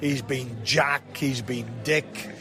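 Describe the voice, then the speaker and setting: A man's voice: mid-range voice, fairly even intonation, takes it slowly. A man, a pantomime actor, chatting casually in an interview in a jovial, self-deprecating way. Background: music.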